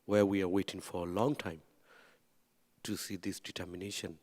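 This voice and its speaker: A man from western Burma gives impassioned public testimony in halting, emphatic English. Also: low voice, flat delivery, darkish tone. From Rakhine State, western Burma. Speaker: activist speaker at a commemorative event. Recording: echoey hall sound.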